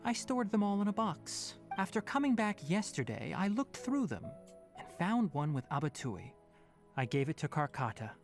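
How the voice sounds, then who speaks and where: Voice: mid-range voice, big pitch swings, moderate pace, soft background music. An actor playing a young male scholarly forest ranger, precise and drily sardonic, in an anime-style game. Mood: calm, reflective. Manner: precise, formal, drily stern.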